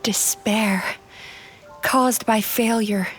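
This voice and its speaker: Game heroine: young, resolute healer, high-pitched, measured and earnest, soft music underneath. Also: female voice, steady tone, low-quality recording. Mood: tender, reflective. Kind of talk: video game character acting.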